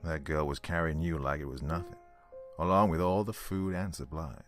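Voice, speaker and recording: A man playing an easygoing, caring survivor in an audio drama, with a gravelly voice and a folksy, reassuring manner. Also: rumbling low, steady tone, normal speed, soft background music. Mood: relieved, amused. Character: Abe, easygoing male survivor, a caring friend.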